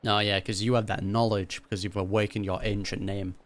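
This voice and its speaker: A man's voice: fairly low pitch, little pitch movement, fairly quick, a little hiss or hum. A man giving casual, slangy reaction commentary as he plays a game, musing informally as a streamer. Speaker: gaming streamer.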